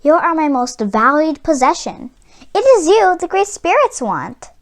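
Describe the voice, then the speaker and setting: A woman's voice: sits high, big pitch swings, measured pace, exaggerated voice. A woman voicing a small, lonely orphan girl in a children's storybook, earnest and pleading as she speaks. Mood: tender resolve. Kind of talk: children's storybook read-aloud.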